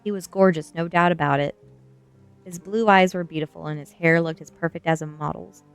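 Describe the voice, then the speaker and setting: A soft-spoken woman streamer reading a novel aloud in a cozy ASMR style, acting out the dialogue. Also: on the low side, steady tone, a little fast, clean tone, faint music behind.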